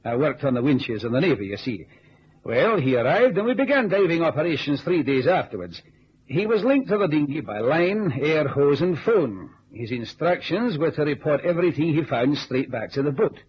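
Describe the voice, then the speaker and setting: A man's voice: a little high, very animated, normal speed, breathy edge, heavily acted. An actor playing an earnest Scottish ex-navy businessman in an old-time radio drama, on a muffled recording with hiss. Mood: measured, earnest. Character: earnest Scottish ex-navy businessman recounting deadly lake dives.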